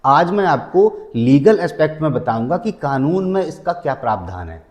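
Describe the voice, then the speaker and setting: Higher-pitched man explaining law. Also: big pitch swings, normal speed, a little mellow. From India. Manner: didactic, repeats key phrases, numbers his points. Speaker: legal advice presenter. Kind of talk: explanatory legal talk to viewers.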